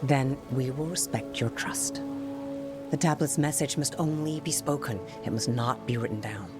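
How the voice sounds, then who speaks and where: A solemn Qunari scholar. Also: female voice, a bass voice. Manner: grave, formal, deliberate. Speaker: translator. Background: music.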